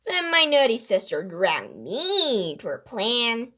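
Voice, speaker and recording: A grumbling fisherman brother in a children's story, read by a woman. He complains mildly and reluctantly, in a fairly high voice. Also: huge pitch swings, slow pace, bright, forceful tone, exaggerated voice.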